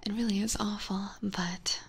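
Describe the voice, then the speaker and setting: A woman's voice: medium pitch, fairly even intonation, gravelly, slightly rough. A woman voicing a seductive, teasing vampire catgirl in an ASMR roleplay, whispering breathily and coaxingly right up close to a binaural microphone. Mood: playful, teasing. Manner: slow, coaxing, playfully menacing. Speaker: streamer playing a character for live chat.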